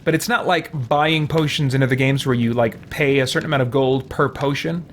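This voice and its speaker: A man hosting a game stream, chatting casually and explaining in a halting, conversational way. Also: mid-range voice, fairly even intonation, a little hiss or hum. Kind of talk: casual live chat while playing.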